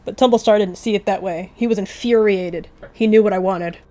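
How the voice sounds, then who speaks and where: A woman's voice: medium pitch, fairly quick, warm tone. A woman reading a roleplay story aloud from a game, casual, slipping between storybook narration and offhand asides. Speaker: video creator and roleplayer. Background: background music.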